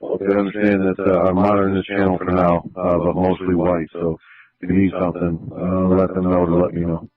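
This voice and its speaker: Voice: low voice, level tone, brisk, no room sound. A man, a police officer, talking informally with a colleague over a police radio. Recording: narrow, tinny police radio transmission.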